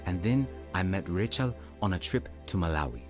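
A man's voice: low voice, lively intonation, moderate pace, faint music behind. An actor playing a humble African evangelist, telling his life story earnestly in a radio drama. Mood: reflective, earnest. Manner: earnest, reflective. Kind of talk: dramatised first-person narration and dialogue.

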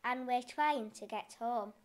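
A woman's voice: fairly high pitch, a little slow, close to an everyday voice. A woman voicing a small, nervous young fish who is far from home, speaking in a timid, earnest manner.

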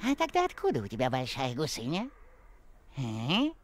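A man playing a skinny, cunning, bossy town guard in an animated film, sly and drawling. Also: mid-range voice, moderate pace, soft background music. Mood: sly, suspicious.

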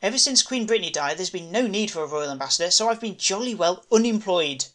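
A man's voice: high voice, lively intonation, quick pace, fairly bright tone. A man voicing a bitter, pompous middle-aged ex-ambassador fallen into homelessness, full of self-pity, in a story podcast.

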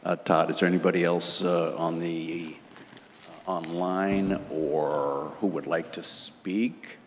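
A man's voice: deep voice, steady tone, slow. A man with an American accent informally chairing a public meeting. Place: large wood-panelled meeting hall. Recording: echoey hall with background noise.

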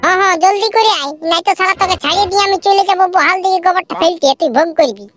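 An actor voicing a bossy, scolding young village labourer in a comedy cartoon, loud and crude, with a pitched-up effect. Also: racing, faint music behind. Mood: impatient, bossy. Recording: noisy recording.

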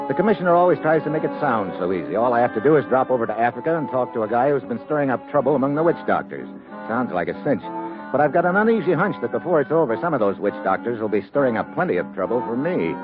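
An American actor playing a tough, wry secret agent and narrator in an old radio adventure. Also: male voice, medium pitch, big pitch swings, fast, phone-quality sound, close to an everyday voice. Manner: wry, hard-boiled, sardonic. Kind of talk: 1950s radio adventure acting. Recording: old band-limited radio recording with music.

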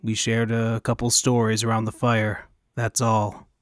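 A man's voice: lowish voice, completely flat delivery, unhurried, dry recording, lightly acted. A man voicing an exasperated traveller in an audiobook, in a dry, matter-of-fact manner.

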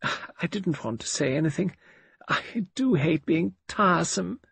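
A man voicing a tired, peevish, martyred married woman in an audiobook, with a sharp, plaintive delivery. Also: on the high side, normal speed, slightly rough, dry recording, voice pushed up. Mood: weary, plaintive.

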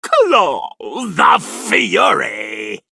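A man voicing a zany, bombastic lobster warrior in a video game, theatrical and raspy. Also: a little high, huge pitch swings, extremely slow, sharp tone, no echo, raised voice, studio quality, full character voice. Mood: bombastic battle-ready. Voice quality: raspy, gravelly. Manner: theatrical, bellowed battle cries. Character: zany male lobster warrior, bombastic self-styled crustacean crusader. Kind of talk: video game character voice lines.

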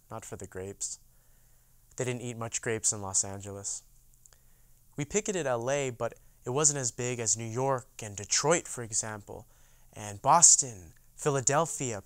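A young man acts out a proud veteran of a union boycott telling his story theatrically. The voice is medium in pitch and very clear, recorded close and dry. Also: no room sound, close to an everyday voice. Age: young adult. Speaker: actor in oral history dramatization. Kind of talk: dramatized first-person monologue.

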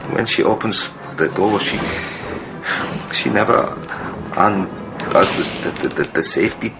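Deep-voiced, halting servant. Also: male voice, creaky, loud music behind the voice, poor audio. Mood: halting, tense. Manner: halting, stammering, apologetic. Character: guilt-ridden, halting male servant confessing. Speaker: actor. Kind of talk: radio drama acting.

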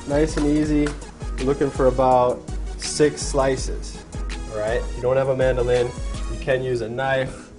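A man's voice: medium pitch, normal speed, over music. Young man narrating a home cooking demo. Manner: relaxed step-by-step narration while cooking. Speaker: cooking show host. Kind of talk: casual cooking demonstration. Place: home kitchen, indoors. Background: frying and sizzling from the pan.

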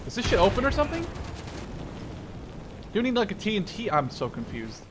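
Man in his twenties, casual gaming commentary, excitable, very animated pitch, gunfire in the background. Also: a little fast, background noise. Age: 20s. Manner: excitable, swears freely. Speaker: gaming video creator. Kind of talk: casual first-person shooter gameplay commentary. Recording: loud gunfire and explosions behind. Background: war game sound effects, gunfire, machine guns.

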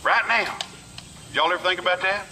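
A man's voice: high voice, normal speed, pushed and bright, a little hiss or hum. A man preaching in the street with fiery call-and-response energy, outdoors in a neighborhood.

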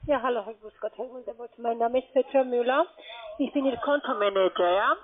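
A non-native woman with a foreign accent makes a call center sales call in a high voice. She is pushy and insistent. She comes through a tinny phone line. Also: brisk. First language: not German. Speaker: call center account agent.

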